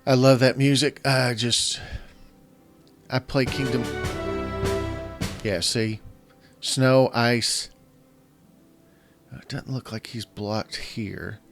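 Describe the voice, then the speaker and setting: A man with a slightly scratchy voice, casually commenting while he plays a strategy game and thinking aloud in halting, self-correcting phrases. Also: mid-range voice, steady tone. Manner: thinks aloud, halting, restarts mid-sentence. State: slightly scratchy voice from illness and yelling. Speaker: gaming channel host. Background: faint game music.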